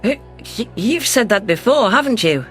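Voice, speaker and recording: Man acting as alien governor, high voice, heartfelt, earnest, sci-fi audio story, faint music behind. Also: animated, moderate pace, fairly bright tone. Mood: tender, teasing.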